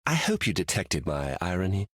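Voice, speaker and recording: A scheming trickster god from a video game, theatrical and sardonic. His low voice swings widely in pitch. The sound is dry and close. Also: big pitch swings, normal speed, fairly bright tone, dry recording, heavily acted. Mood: sly. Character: sly scheming male trickster god, ironic.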